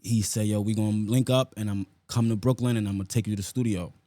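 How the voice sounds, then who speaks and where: A male rapper with a New York accent chats casually in an interview. His voice is low and mellow, recorded close and dry. He speaks in street slang, often checking that the listener follows. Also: fairly even intonation, quick pace, no room sound. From South Bronx, New York. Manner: street slang with frequent "you know what I'm saying" tags.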